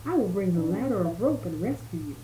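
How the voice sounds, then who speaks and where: A woman's voice: on the low side, animated, brisk, dark tone, natural voice. A woman voicing a young prince from a storybook, ardent and bold, calling out with stretched words. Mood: earnest. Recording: roomy home recording with background noise.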